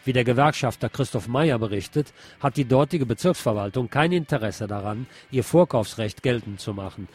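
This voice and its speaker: A man's voice: medium pitch, a little fast, few pauses, darkish tone, breathy edge. A man hosting a radio programme, reading a scripted political commentary. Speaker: radio host.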